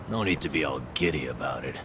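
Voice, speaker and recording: An actor playing a gruff, blunt but good-hearted yakuza hero in a video game dub. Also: male voice, very deep voice, expressive, normal speed, noisy background. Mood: defiant, resigned.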